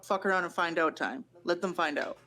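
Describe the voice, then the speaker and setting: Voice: medium pitch, fairly quick, pushed and bright. A person from western Canada chatting casually and telling anecdotes. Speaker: talk show guest, former school youth worker.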